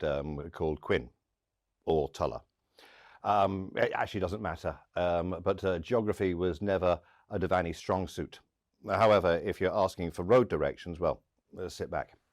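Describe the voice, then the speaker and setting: A man delivering a first-person story theatrically, with dramatic pauses and acted-out dialogue. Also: low voice, no echo, clean studio sound. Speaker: storyteller and podcast host.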